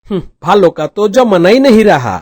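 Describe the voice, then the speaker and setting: A man's voice: high voice, big pitch swings, a little fast, a little mellow, no echo, studio quality. Smug, teasing young Bangladeshi brother, acted by a man. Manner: smug, mock-consoling. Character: teasing, carefree slacker brother, young male. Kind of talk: cartoon comedy acting.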